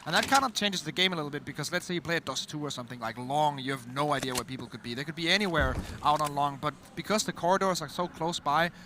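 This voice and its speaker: Danish-accented male caster, high-ish and quick, eager breakdowns. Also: background noise. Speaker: esports caster. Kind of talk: esports commentary.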